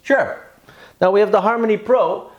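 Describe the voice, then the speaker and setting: A man's voice: sits high, moderate pace, dull audio. A middle-aged man demonstrating a product step by step in an echoey living room. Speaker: product demo presenter.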